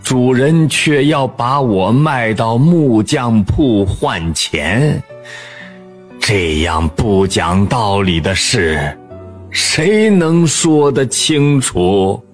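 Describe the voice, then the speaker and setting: A man voicing an old, weary apricot tree in a storybook tale, speaking slowly and heavily. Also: mid-range voice, expressive. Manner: slow, heavy, lamenting. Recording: faint background music.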